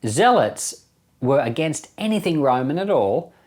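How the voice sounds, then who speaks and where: A man's voice: fairly high pitch, very animated, a little slow, a little mellow. A middle-aged man gives informal Bible commentary as a pastor, explaining things conversationally and repeating phrases for emphasis. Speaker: pastor and Bible podcast host.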